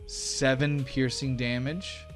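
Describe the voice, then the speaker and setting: A man's voice: medium pitch, level tone, takes it slowly. A man running a tabletop game as game master, moving between dramatic combat narration and casual rulings. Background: background music.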